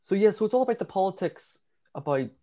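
A man's voice: high voice, very animated, quick pace, mellow. A man with a Northern Irish accent co-hosting a podcast, chatting casually about a film with lots of ums and the odd swear. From Northern Ireland. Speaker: podcast co-host.

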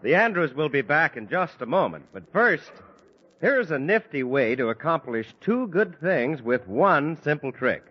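A man's voice: big pitch swings, a little slow, slightly rough, dull audio. A male radio announcer reading an upbeat ad in 1940s broadcast style, on an old recording. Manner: upbeat, emphatic pitch.

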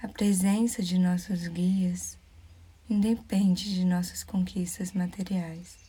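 Breathy, slow Brazilian woman guiding meditation. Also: on the low side. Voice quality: soft, breathy, near-whisper. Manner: calm, soothing, measured with pauses. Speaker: meditation guide. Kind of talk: guided meditation.

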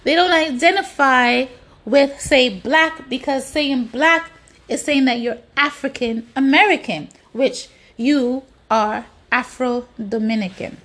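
A woman's voice: high voice, slow pace, a bit bright. A woman delivering an opinionated, exasperated monologue in colloquial speech, with rhetorical questions and frequent swearing.

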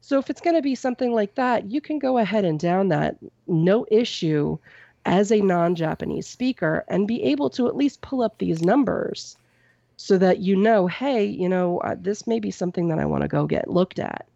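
A woman co-hosting a podcast from home on a boom-arm mic. She reads out a news story and then chats about it loosely, with plenty of "um" and "you know". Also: animated, normal speed, creaky, warm tone, no room sound, highs cut off. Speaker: podcast co-host reading news. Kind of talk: casual news chat. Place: at home with a cat nearby. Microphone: microphone on a boom arm.

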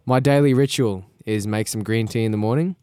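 A man's voice: lowish voice, fairly quick, warm tone, smooth, no echo, clean studio sound. A young Australian man co-hosting a podcast, chatting casually, rambling a little and laughing often. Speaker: podcast co-host. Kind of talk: casual chat. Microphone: podcast microphone.

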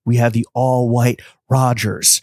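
A man co-hosting a podcast with crude, joking banter. His voice sits at an ordinary middle pitch. The sound is dry and close, with no room around it. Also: little pitch movement, a little slow, a little mellow, no echo. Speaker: podcast co-host.

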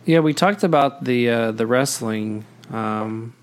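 A man's voice: mid-range voice, a little slow. A man hosting a podcast in casual chat, rambling with many fillers and restarts. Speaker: podcast host.

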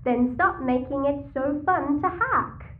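A woman's voice: high-pitched, slow, narrow, tinny audio. An actress playing a chatty, cheeky, nosy teenage girl in an audio sci-fi drama. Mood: teasing. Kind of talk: audio-fiction drama dialogue.

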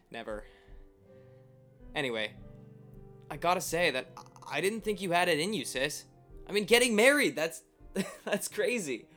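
Radio play: a joking, fond young brother, informal and chatty, a touch high in pitch. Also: male voice, a bit bright. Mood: teasing. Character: Greg, teasing affectionate young brother of the bride. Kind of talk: radio play acting, informal spoken message.

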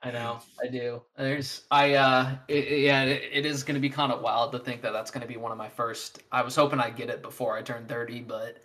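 A man in his late twenties chatting excitedly about video games, swearing freely and filling his talk with "like". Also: medium pitch, fairly quick, hardly any pauses. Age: 20s. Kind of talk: casual gaming podcast chat.

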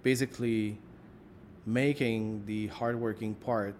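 A man's voice: fairly low pitch, fairly even intonation, takes it slowly, a little mellow, a little hiss or hum. A man interviewing a guest in a casual chat, thinking aloud and filling his questions with "like". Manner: rambling, thinks aloud with many "like"s.

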